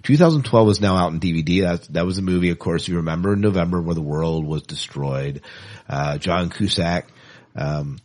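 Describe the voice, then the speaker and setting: A middle-aged man hosting a podcast, talking casually and with clear opinions about movie news. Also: sits low, a little fast, a little mellow. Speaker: podcast host.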